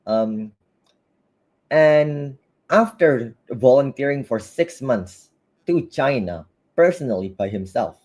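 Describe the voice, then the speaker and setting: A man's voice: medium pitch, animated, slow, darkish tone. A man with a mixed Malaysian and Western English accent, hosting an online talk and giving casual advice in a chatty way, with many fillers and restarts, speaking over a video call. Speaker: host of an English talk webinar.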